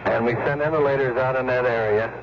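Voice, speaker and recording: A man's voice: mid-range voice, fairly even intonation, fairly quick. A man with an American accent, a fire official giving a plain-spoken account to radio news. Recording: dull vintage radio news clip.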